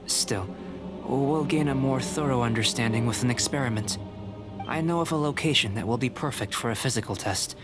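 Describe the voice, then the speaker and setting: A cerebral young male researcher, acted by a man in a video game. He talks in a measured, detached and politely scholarly manner at a medium pitch, with music clearly behind him. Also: lightly acted. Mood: calm, instructive.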